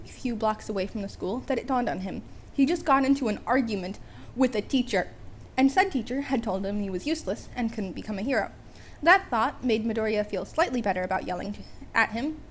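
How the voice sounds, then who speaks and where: A woman with a high voice narrates a comic story as an amateur audiobook reader. Her delivery is wry, with occasional stumbles and repeated phrases. Background noise runs under the home recording.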